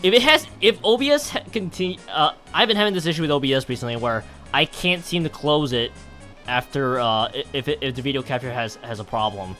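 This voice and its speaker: A man's voice: medium pitch, a little fast, pushed and bright, soft background music. A man commentating on a game stream and reading game text aloud in a rambling way, often stumbling and correcting himself. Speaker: live streamer.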